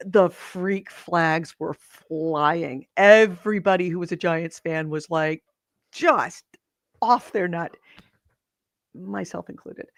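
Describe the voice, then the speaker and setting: A slightly hoarse woman co-hosting a podcast, chatting in a rambling, self-interrupting way. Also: fairly low pitch, takes it slowly, no room sound, studio quality. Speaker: podcast co-host. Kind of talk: casual chat.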